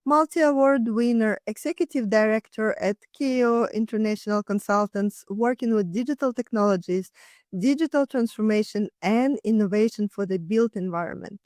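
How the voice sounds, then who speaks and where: A woman's voice: on the high side, normal speed, a little mellow, dry recording, studio quality. A woman hosting a podcast, reading a polished, upbeat introduction and asking interview questions. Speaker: podcast host. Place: studio.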